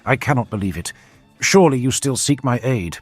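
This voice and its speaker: A man's voice: medium pitch, expressive, fairly quick, no room sound, faint music behind, pitched above the performer's own voice, lightly acted. A man voicing a jovial, scheming ruler who declaims grandly to his court in a fantasy audiobook. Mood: demanding, scornful. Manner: declamatory, theatrical.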